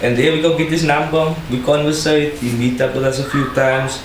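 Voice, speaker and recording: A man's voice: on the high side, fairly even intonation, brisk. A young man from South Africa with a Cape Town accent, a rapper talking casually as a podcast guest, slangy and laughing often. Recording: echoey room with noise.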